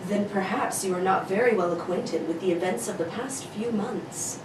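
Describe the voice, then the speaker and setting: A woman's voice: medium pitch, lightly acted. A woman playing a grave, formal masked government official in an amateur read of an old radio serial. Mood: grave, urgent. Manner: solemn, formal, deliberate. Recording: roomy, distant mic, clearly noisy.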